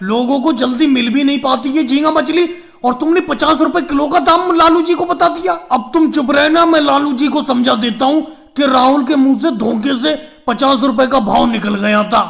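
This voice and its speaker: A man puts on an extremely high, creaky cartoon voice for a chatty politician caricature. He bickers and chats like a villager in an animated comedy skit. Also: normal speed, heavily acted. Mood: haggling, exasperated.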